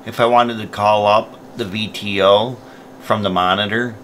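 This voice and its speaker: A man's voice: on the low side, steady tone, a little slow, a bit bright, a little hiss or hum. A man presenting a product tutorial, explaining each step in a plain, methodical way. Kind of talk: step-by-step instructional narration.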